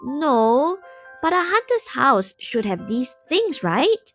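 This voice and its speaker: A woman's voice: high-pitched, sing-song, takes it slowly, fairly bright tone, soft background music, voice pushed up, heavily acted. A woman voicing an eager, wide-eyed seven-year-old boy in a storybook reading. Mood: earnest.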